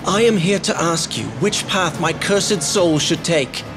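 A man's voice: high voice, a little slow. An actor playing a cursed young male rabbit-folk prince who speaks politely and earnestly. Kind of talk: video game cutscene acting. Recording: loud game music behind.

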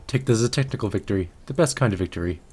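A man's voice: lowish voice, rapid-fire, dark tone, slightly rough. A man giving casual let's play commentary on a video game as he plays. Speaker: gaming video host. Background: game music and effects.

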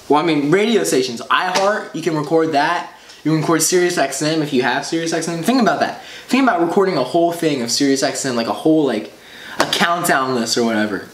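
A teenage boy chatting casually to viewers, enthusiastic and rambling, often repeating himself, recorded indoors a short distance from the camera. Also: on the high side. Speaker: vlogger. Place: small room indoors at a table. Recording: roomy echo, clear background noise.

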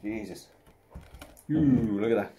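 A man's voice: mid-range voice, slow, background noise. A man, casually reviewing a beer to camera at home, chatty and self-deprecating. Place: small room at home, indoors. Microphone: camera close up at desk level.

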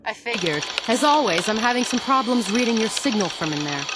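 A woman's voice: on the high side, lively intonation, fairly quick, heavy background noise, natural voice. An actress playing a capable young female tech ally in a video game, businesslike but concerned. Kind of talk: video game cutscene acting. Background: music.

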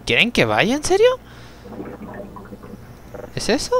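Young male let's player with a lively, animated voice that jumps up when things go wrong; chatty, swears at the game, faint noise behind. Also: high-pitched, takes it slowly. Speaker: let's play gamer. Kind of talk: casual gameplay commentary.

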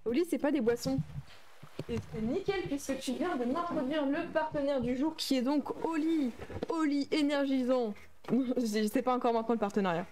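A young woman chats through a gaming livestream. Her voice sits a little high. She reads and answers viewer messages aloud in an easy, casual flow. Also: moderate pace, background noise. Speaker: streamer. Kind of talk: casual livestream chat while playing a game.

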